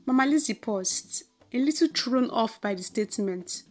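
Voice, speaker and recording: A woman with a Nigerian accent narrating a folktale in an expressive, theatrical, scripted storytelling style. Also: fairly high pitch, moderate pace.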